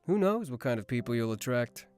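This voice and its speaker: A man's voice: medium pitch, sing-song, a little fast, darkish tone, clear, resonant tone, lightly acted. An actor playing a teasing, smug, mysterious man in his twenties in a visual novel, with music behind him. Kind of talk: visual novel character acting. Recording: background music under voice.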